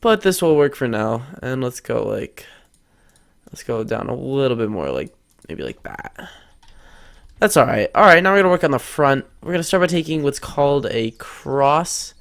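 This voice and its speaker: Teen guy w a stuffy nose rambling thru a game tutorial, mid pitch. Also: very animated, moderate pace, creaky, fairly bright tone. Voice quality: slightly congested. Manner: chatty, rambling, thinks aloud while building. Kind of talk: casual screen-recorded tutorial.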